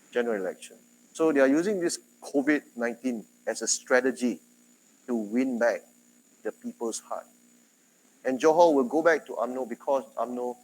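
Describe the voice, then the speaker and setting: A man from Singapore with a Singaporean English accent, giving a university lecture in a chatty, animated way. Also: medium pitch, low-quality recording. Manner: chatty, animated, quotes others in dialogue.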